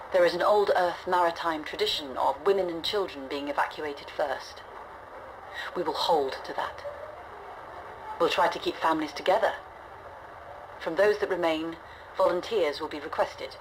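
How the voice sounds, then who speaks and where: A resolute, weary woman in command of a stranded starship speaks in a low voice. Her delivery is measured, grave and reflective, like a scripted log in a science fiction game. A faint noisy ambience sits behind her words. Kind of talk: scripted audio log narration, dramatic game acting.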